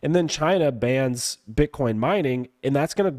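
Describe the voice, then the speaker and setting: A man with a raspy, cold-roughened voice, explaining crypto market analysis step by step as a guest analyst. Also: mid-range voice, lively intonation, darkish tone. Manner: measured, explains step by step, asks himself questions. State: hoarse from a cold.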